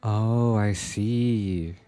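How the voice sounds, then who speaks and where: A streamer giving casual, low-key muttered reactions during a game playthrough. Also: steady tone, drawn-out words, dark tone. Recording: game music behind voice.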